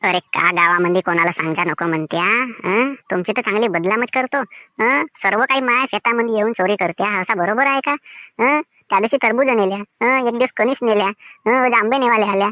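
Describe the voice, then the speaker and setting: Village farmer for cartoon comedy, woman acting: indignant scolder, piercing bright tone, dry sound, rustic Vidarbha accent. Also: mid-range voice, brisk, no room sound, close to an everyday voice. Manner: scolding, repetitive rhetorical questions. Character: indignant, scolding male village farmer guarding his fields.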